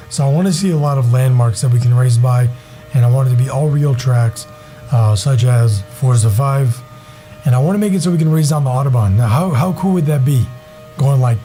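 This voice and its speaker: Hoarse young man, dark raspy voice. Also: medium pitch. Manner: rambling, enthusiastic, many fillers. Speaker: gaming channel host. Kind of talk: casual gaming commentary.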